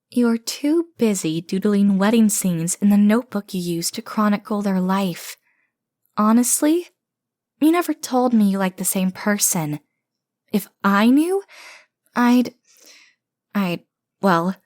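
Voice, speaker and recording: A woman's voice: mid-range voice, a little mellow, dry recording. Woman playing a dramatic, obsessive yandere sister, dry studio sound. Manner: theatrical, indignant, rambling, boastful. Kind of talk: comedic audio roleplay.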